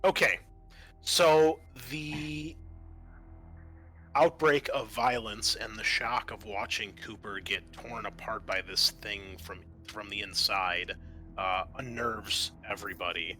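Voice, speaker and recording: A man runs a tabletop roleplaying game as its game master. His voice sits fairly low and has a bright, crisp edge. He narrates conversationally and haltingly, with faint music behind him. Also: fairly even intonation.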